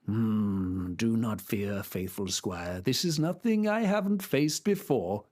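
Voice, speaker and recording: A man plays a deluded old knight who drags out each grand phrase slowly in a lower, pompous voice. He sounds formal and self-important, dropping at times to a hushed whisper, like a storybook hero. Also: animated. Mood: grandiose. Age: older.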